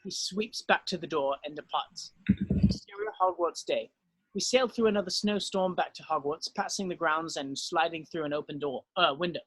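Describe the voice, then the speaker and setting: A man with a fairly high voice reads stage directions as narrator at a script table read. The delivery is scripted narration, setting out scenes and actions. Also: moderate pace, a bit bright, breathy edge, rough recording.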